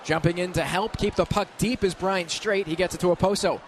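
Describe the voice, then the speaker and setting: A man calling live ice hockey play-by-play on the radio in an urgent, clipped, excitable manner. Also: high-pitched, fairly quick. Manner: excitable, urgent, clipped running description of action. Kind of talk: live sports play-by-play commentary. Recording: radio broadcast with loud arena noise. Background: loud ambience of a hockey arena.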